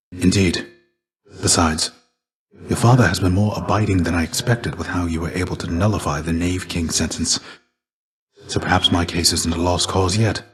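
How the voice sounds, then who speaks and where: An actor voicing a stoic, weary dead Greek warrior and fatherly mentor in a video game, speaking in a measured, formal, restrained and gently grave way. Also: deep voice, lightly acted. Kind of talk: video game character dialogue.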